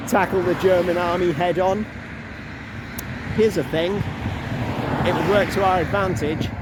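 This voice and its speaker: A man walking through a city, narrating a travel vlog in a chatty, unhurried way with asides. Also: high voice. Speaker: travel vlogger. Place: outdoors on city streets by a river. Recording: noisy outdoor street recording. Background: loud traffic and city noise.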